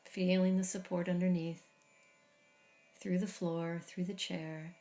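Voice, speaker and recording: A middle-aged woman guiding a body scan meditation, speaking slowly and soothingly with long pauses. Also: fairly low pitch, level tone. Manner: slow, soft, soothing guidance with long pauses. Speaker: meditation teacher.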